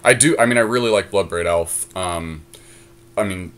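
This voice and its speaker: A man's voice: deep voice, normal speed, fairly bright tone. A man co-hosting a casual podcast, thinking aloud through card picks with hesitant fillers. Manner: musing, hesitant, lots of fillers. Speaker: podcast co-host. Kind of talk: casual chat while drafting a card game.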